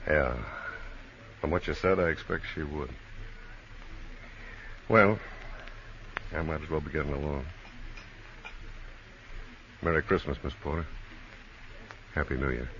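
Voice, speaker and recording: An actor playing an easygoing, soft-hearted private detective in a laconic, informal manner in 1950s radio drama style. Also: male voice, very deep voice, a little slow, pauses often. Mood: gentle, hesitant. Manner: laconic, informal, hesitant at tender moments. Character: easygoing kindly male private detective, hard-boiled but soft-hearted. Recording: muffled, noisy old radio recording.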